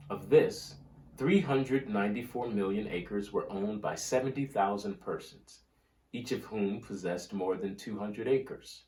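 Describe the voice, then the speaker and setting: A man giving a scripted dramatic reading of history and old oratory in a solemn, measured, oratorical manner with deliberate pauses. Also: fairly low pitch, a little mellow. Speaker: reader of a history book. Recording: roomy, echoing room sound.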